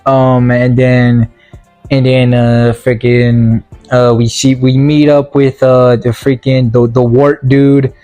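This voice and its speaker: A man with a medium-pitched voice talks through a movie review in a loose, casual way. He stumbles and repeats words as he goes, slipping into mock-earnest sarcasm. Music plays behind him. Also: little pitch movement, mellow. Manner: halting, stammering delivery with repeated words. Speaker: video reviewer.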